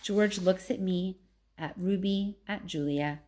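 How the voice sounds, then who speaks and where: A woman reads a story aloud as a teacher would. Her mid-pitched voice follows the narrative expressively. The delivery is that of a read-aloud for young listeners. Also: slow pace, darkish tone.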